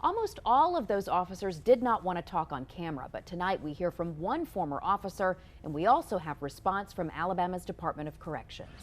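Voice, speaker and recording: A woman's voice: fairly low pitch, big pitch swings, a little fast, few pauses. A woman reporting the news, reading a scripted broadcast narration.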